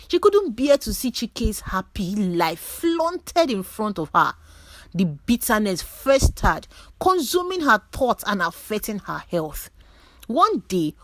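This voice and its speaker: Woman telling a dramatic story, really animated pitch, dry recording. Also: no echo. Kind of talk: dramatic scripted story narration.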